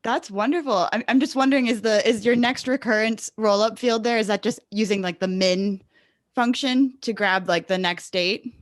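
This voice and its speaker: A woman's voice: fairly high pitch, normal speed, no echo, clean studio sound. A woman hosting a casual podcast interview, encouraging and effusive toward her guest. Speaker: podcast host.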